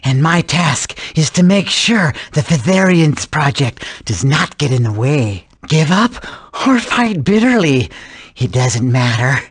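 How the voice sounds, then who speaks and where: Husky, creepy villain. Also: male voice, fairly high pitch, sing-song, no room sound, clean studio sound, heavily acted. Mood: gloating menace. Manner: slow, florid, menacing. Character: creepy taunting zealot villain, theatrical and menacing. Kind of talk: English game dub voice acting.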